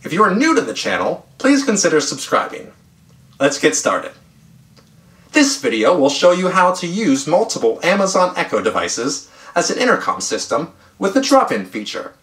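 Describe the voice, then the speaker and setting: A man in his thirties hosting a tech tutorial, speaking upbeat and clear straight to the camera in a small room at home. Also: a little high, wildly swooping pitch, normal speed, fairly bright tone. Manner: upbeat, clear presenter delivery. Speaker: tech channel host. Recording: roomy echo.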